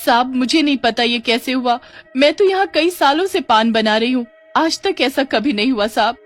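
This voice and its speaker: Woman voicing a plaintive older village woman, raised pitch. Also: animated, normal speed. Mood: pleading. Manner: pleading, plaintive. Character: wronged older paan-seller woman, pleading and honest. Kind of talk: animated story dialogue. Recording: music under the voice.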